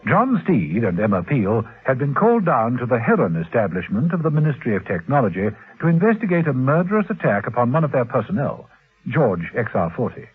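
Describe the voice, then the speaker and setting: Man, old spy radio drama narration, animated intonation, theatrical suspense, thin vintage broadcast sound. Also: mid-range voice, brisk. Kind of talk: dramatic scripted narration, classic radio serial. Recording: muffled, husky-sounding old radio recording.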